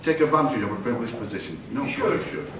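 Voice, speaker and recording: An older man delivers a father-of-the-bride speech in a jokey, rambling way, backtracking and correcting himself mid-sentence. His voice sounds far from the microphone and bounces around a big echoey room, with steady noise behind it. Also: medium pitch, very fast. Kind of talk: wedding speech. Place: wedding reception hall. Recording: echoey hall from far off, noisy.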